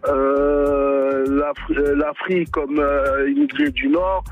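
A man from Gabon calling in to a radio show, speaking hesitantly with many pauses and fillers. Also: a little high, creaky, no echo. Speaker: caller.

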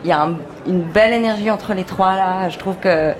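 A woman's voice: on the low side, a little fast. A woman giving casual, reflective commentary on music, sometimes searching for words. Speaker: guest music programmer. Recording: crowd sounds behind the voice.

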